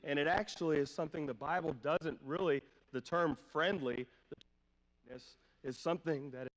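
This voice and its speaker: Male preacher, higher-pitched voice a touch under the weather, informal and questioning, heard in a long-reverb church hall. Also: fairly quick, a little hiss or hum. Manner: informal, checks in with listeners with rhetorical questions. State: slight cough, voice a little off from a cold. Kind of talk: conversational sermon. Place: church hall during a live-streamed service.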